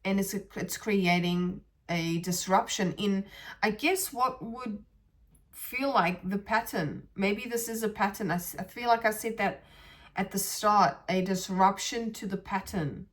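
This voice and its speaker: Woman musing, halting and searching. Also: medium pitch. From South Africa. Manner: meandering, searching for words, frequent restarts. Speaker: podcast host. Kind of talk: reflective spiritual monologue.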